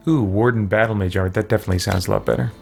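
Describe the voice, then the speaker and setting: Gravelly man muttering through a video game. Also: on the low side, fairly even intonation, racing. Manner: muttering to himself while working through menus. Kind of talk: casual gameplay commentary, thinking aloud. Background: game music, keyboard clicks.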